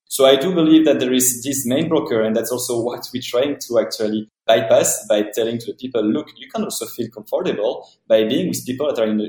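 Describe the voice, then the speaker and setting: A man's voice: mid-range voice, darkish tone. A man with a French accent, a founder answering podcast interview questions earnestly in long, winding sentences. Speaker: startup founder guest. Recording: roomy echo.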